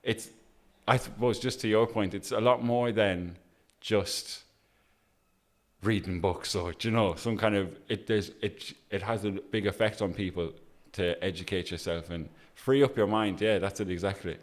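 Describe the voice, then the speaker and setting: A man's voice: lowish voice, normal speed. A man hosting an interview, talking casually and thinking aloud with frequent restarts. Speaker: interviewer, host.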